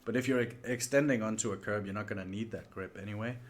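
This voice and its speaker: A man's voice: lowish voice, quick pace. A man hosting a sim racing livestream in casual, chatty talk, polite and a little self-deprecating. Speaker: livestream host and sim racer. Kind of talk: casual livestream gaming chat.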